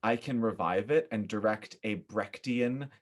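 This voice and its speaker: A man's voice: fairly low pitch, measured pace. American man chatting animatedly on a podcast. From upstate New York, United States. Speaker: podcast guest. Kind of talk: casual podcast conversation.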